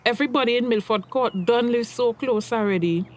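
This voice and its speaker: An actress playing a sharp-tongued but caring mother in a radio drama, with a Tobagonian accent and expressive, admonishing dialect. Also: a little high, fairly quick, natural voice. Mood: wry.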